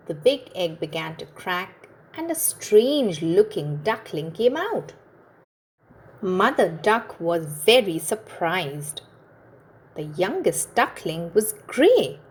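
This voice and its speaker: Lady reading a kids storybook, slowish and on the low side, expressive, teaches as she goes. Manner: expressive, deliberate, instructive. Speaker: children's storyteller and host. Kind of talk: read-aloud storybook narration for young children.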